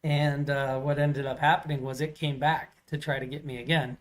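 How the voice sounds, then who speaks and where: Co-host, man, loud carrying voice, rambling storyteller, slightly high. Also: little pitch movement, moderate pace. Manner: loud voice that carries; rambling, many fillers and restarts. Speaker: podcast co-host. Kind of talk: casual storytelling chat.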